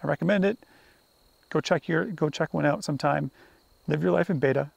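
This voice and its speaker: Gravelly, husky man vlogging outdoors. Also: on the high side, brisk, no room sound. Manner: relaxed, rambling with fillers and restarts. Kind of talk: casual talk to camera. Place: outdoor campsite among pine trees. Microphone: camera held at arm's length.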